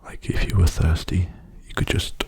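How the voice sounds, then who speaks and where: A young man speaking softly in a hushed, breathy, soothing whisper, rambling casually for an ASMR video. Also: moderate pace. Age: young adult.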